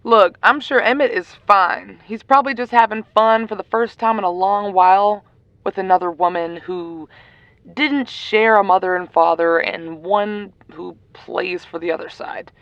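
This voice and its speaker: A woman plays a casual, reassuring, teasing friend in an audio drama. Her mid-pitched voice has a clear creak. The sound is close, dry and a bit dull. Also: normal speed, a bit bright, no room sound, lightly acted. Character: laid-back, teasing, reassuring female friend. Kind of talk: audio roleplay acting.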